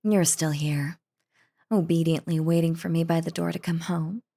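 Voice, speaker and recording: A woman's voice: sits low, fairly even intonation, moderate pace, vocal fry, mellow. A woman playing a possessive, lovestruck yandere wife in an intimate audio roleplay, talking in a doting, gushing, cheerfully unhinged way. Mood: sweetly possessive. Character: lovestruck possessive yandere wife, sweetly menacing crazy stalker. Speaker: voice actor.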